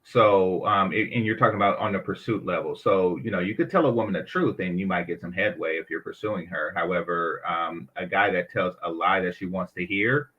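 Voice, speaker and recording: A man's voice: low voice, brisk, few pauses, husky, dull audio. A man speaking as a panel guest, matter-of-fact and sure of himself. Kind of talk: casual panel talk.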